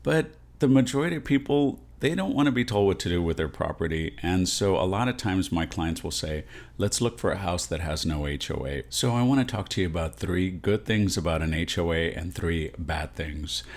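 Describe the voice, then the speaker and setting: American male realtor host, very low voice, explanatory direct-to-camera advice delivery with frequent check-ins. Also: lively intonation, moderate pace. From San Antonio, Texas, USA. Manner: explanatory, often checks in with "right?".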